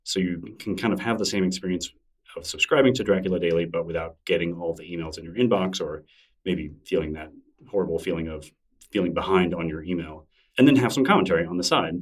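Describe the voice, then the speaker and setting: A man with a very low voice talks in an easy, enthusiastic way during an interview, often breaking into laughter. He sits a little back from the microphone. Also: quick pace, far from the mic. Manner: chatty, enthusiastic, laughs easily. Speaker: podcast guest, newsletter creator. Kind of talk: casual interview conversation.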